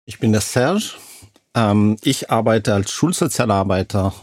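Middle-aged social worker, lowish voice, unhurried and deliberate. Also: male voice, animated, no room sound, studio quality. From Switzerland. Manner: deliberate, slightly broken grammar. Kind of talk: casual talk show chat.

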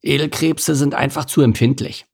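A man's voice: mid-range voice, steady tone, normal speed, gravelly, darkish tone, husky, pitched above the performer's own voice. A man voicing a cheerful, cheeky male eel in a storybook reading, brash and teasing.

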